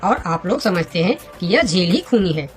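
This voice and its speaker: A woman's voice: fairly low pitch, expressive, moderate pace, faint music behind, close to an everyday voice. A woman voicing a friendly, earnest monkey in a children's cartoon, speaking expressively. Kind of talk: children's cartoon story dialogue.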